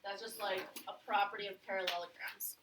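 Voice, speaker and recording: A woman's voice: medium pitch, fairly even intonation, brisk, background noise. A woman teaching a geometry class, upbeat and coaxing her students with questions. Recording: echoey classroom, mic at a distance.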